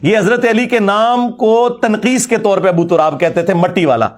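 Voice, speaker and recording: High-pitched Pakistani Punjabi man lecturing combatively. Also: moderate pace. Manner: combative, mocking, emphatic. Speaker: religious lecturer. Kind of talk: informal polemical religious lecture.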